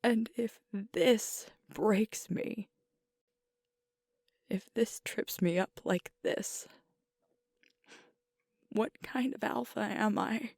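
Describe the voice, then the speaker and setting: A woman playing a sweet, vulnerable alpha werewolf girlfriend in an intimate ASMR roleplay, speaking softly and hesitantly as she grieves. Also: medium pitch, no echo, studio quality, exaggerated voice. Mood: hurting, self-reproachful. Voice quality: soft, breathy, often whispered. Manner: slow, hesitant, confiding, with pauses.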